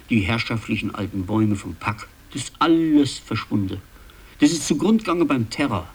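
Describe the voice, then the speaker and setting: A man playing a rambling, excitable land registry clerk and genealogy buff in a radio play, with a husky voice and a halting, insistent delivery. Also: medium pitch. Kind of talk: conversational radio play acting.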